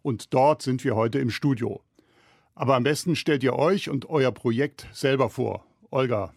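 A man moderates a history podcast from a university studio. He speaks calmly and plainly at a middle pitch. The sound is dry and close. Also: normal speed, vocal fry, no room sound. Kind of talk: casual spoken introduction.

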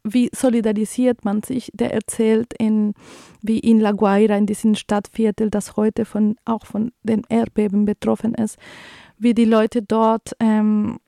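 A woman's voice: mid-range voice, level tone, unhurried, vocal fry, warm tone, no echo, studio quality. A woman from Colombia in her thirties, a native Spanish speaker with a Colombian accent, hosting a community radio show in a hesitant way full of fillers and restarts. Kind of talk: community radio commentary and news reading.

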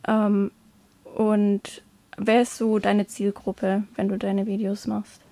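A woman's voice: mid-range voice, completely flat delivery, slow pace, mellow, no room sound. A woman interviewing a guest, asking friendly, prompting questions.

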